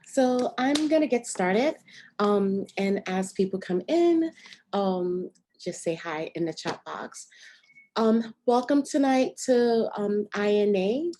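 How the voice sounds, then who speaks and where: A woman hosting a video-call meeting, speaking in a relaxed way with many pauses and "um"s. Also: lowish voice. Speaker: host, board member and nanny agency owner.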